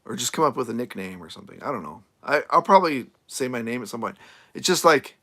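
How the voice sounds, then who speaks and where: A man with a gravelly, slightly hoarse voice chats loosely on a live stream, drifting between thoughts with plenty of fillers. His talk is easygoing and unscripted, and he often restarts his sentences. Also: mid-range voice, big pitch swings, quick pace, sharp tone. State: voice getting a little hoarse. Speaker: nomad RV YouTuber answering live chat. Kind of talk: casual live-stream chat. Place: RV camp in the desert, outdoors.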